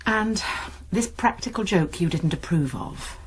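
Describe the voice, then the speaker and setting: An actress playing a calm, kindly college nurse with a reassuring, gently probing manner in a radio drama. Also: female voice, fairly low pitch, measured pace, breathy edge.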